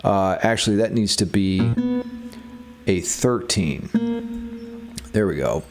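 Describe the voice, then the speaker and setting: A man's voice: low voice, animated, slow. A man giving a casual music theory lesson as a guitar teacher.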